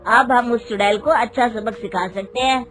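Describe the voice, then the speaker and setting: Loud, high-pitched indignant villager played by a woman. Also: normal speed, loud music behind the voice, close to an everyday voice. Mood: excited. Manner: loud, exclaiming, scolding. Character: excitable indignant village man robbed of his scooter. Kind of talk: storybook character voice.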